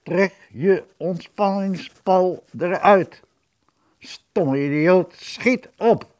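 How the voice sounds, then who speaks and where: A man voicing urgent telegraph messages that pass between a brain and its body parts, called out loudly word by word. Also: high voice, pitched above the performer's own voice. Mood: commanding, urgent. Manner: slow, word-by-word, loud calling.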